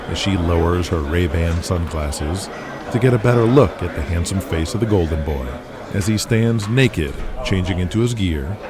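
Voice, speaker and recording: A man narrating an audio drama in scripted, present-tense storytelling style. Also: sits low. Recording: music playing behind the voice. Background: music.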